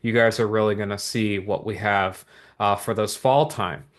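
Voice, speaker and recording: Energetic man giving a motivational sales pitch. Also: normal speed. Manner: energetic, repetitive motivational patter with many asides. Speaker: business opportunity presenter. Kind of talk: upbeat sales pitch to viewers.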